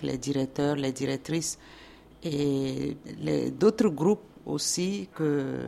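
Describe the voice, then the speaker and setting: A woman in her fifties from Togo, answering as an interview guest in a measured, explanatory way with short halting phrases. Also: sits low, a little mellow, slight background noise. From Togo, born in Ghana.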